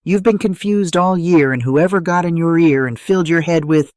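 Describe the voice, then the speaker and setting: A narrator voicing an angry, accusing middle-aged son in an audio drama, loud and forceful. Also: medium pitch, normal speed, dry recording, clean studio sound, voice pushed up, lightly acted.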